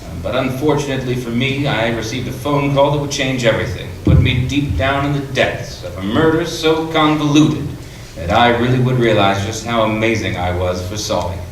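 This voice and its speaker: A man playing a self-assured, wryly conceited 1940s New York private detective, narrating in old radio drama style with deliberate, hard-boiled storytelling. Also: mid-range voice, natural voice. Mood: brooding, self-satisfied. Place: improv theatre stage before an audience. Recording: echoey theatre stage, distant mic, noisy.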